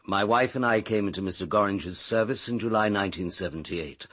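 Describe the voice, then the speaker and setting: A man acting a stiffly correct British butler in a radio drama, speaking in a formal, measured, deferential way. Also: on the low side, a bit bright.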